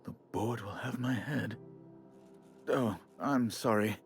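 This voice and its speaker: An actor playing an effusive, melodramatic colonial official in a video game, theatrical, florid and fussily apologetic. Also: male voice, lowish voice, slow pace, creaky, faint music behind. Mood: despairing, apologetic. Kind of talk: video game character acting.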